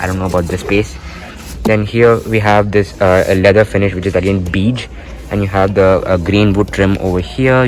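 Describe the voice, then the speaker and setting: A man giving a casual walkaround of a car interior, pointing out features with frequent "uh" fillers, recorded on a handheld phone inside a car in a showroom. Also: on the low side. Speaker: car reviewer.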